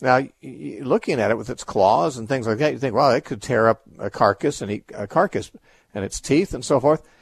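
A man's voice: medium pitch, brisk, vocal fry, husky, no room sound. A man hosting a radio call-in show, answering Bible questions in a thoughtful, explanatory way with frequent restarts.

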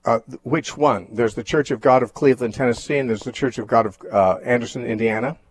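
A man hosting a radio call-in show, teaching on the Bible in a measured, thoughtful way with frequent fillers and restarts. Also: mid-range voice.

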